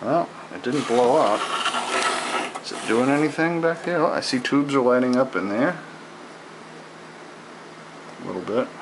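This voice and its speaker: A man casually narrating his hands-on work, halting as he thinks aloud, recorded on a handheld camera indoors. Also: medium pitch, lively intonation, noisy background. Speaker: hobbyist radio repairer presenting his own video. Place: indoors at a wooden table in a home. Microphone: handheld camera, held near the work.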